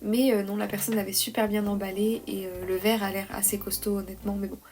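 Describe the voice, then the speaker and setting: A young woman with a slight head cold, talking softly and hesitantly in a calm, cosy vlog voice-over. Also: medium pitch, fast talker, a little hiss or hum. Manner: soft, hesitant, many "euh" fillers. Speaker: vlogger, freelance illustrator.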